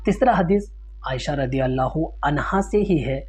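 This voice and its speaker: A man's voice: a little high, expressive, normal speed. A man delivering an informal Islamic lecture, explaining didactically, citing sources and restating his points in simpler words. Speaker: Islamic religious teacher answering a ruling question. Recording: dull lo-fi sound with loud hum.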